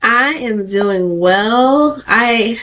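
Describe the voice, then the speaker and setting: A woman co-hosting a podcast who is getting over a cold and sounds a bit nasal. She talks in a relaxed, friendly way. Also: a little high, drawn-out words, low-quality recording. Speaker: podcast co-host.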